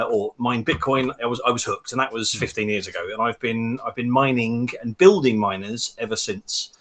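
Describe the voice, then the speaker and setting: A man's voice: mid-range voice, a bit bright. Man, South London accent, upbeat, joking about himself, casual podcast chat. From Texas, raised in London from age eleven. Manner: chatty, upbeat, self-mocking. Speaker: guest, home bitcoin miner and hardware maker.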